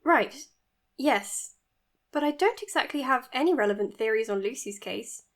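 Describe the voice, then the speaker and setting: Earnest, higher-voiced woman doctor. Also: expressive, moderate pace. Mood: deferential, curious. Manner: hesitant, formal, self-conscious. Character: earnest, slightly awkward woman doctor recording podcast updates. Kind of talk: audio drama acting as a podcast monologue.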